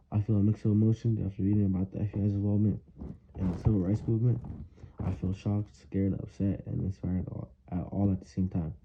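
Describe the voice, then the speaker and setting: A man's voice: lowish voice, narrow, tinny audio, slight background noise. A young man, a student, reading prepared written answers aloud in an earnest way, now and then stumbling over words.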